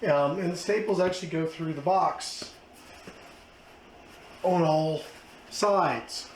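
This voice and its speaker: A hoarse man, hosting a casual unboxing vlog with plenty of fillers. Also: a little high, takes it slowly. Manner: chatty, self-deprecating, many fillers. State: hoarse, losing his voice. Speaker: car channel host. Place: outdoors then indoors at home. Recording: echoey room with background noise.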